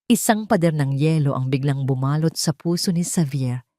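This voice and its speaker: A woman's voice: low voice, normal speed, mellow, no room sound, studio quality. A woman reading a scripted romance audio drama as a dramatic storyteller.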